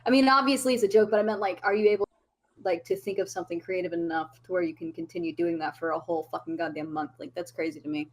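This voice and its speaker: A woman's voice: lowish voice, fairly even intonation, fast talker. Young woman guest on a voice call, swears a lot, rambly chatty storytelling. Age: 20s. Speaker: podcast guest. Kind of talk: casual chat. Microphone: remote voice call.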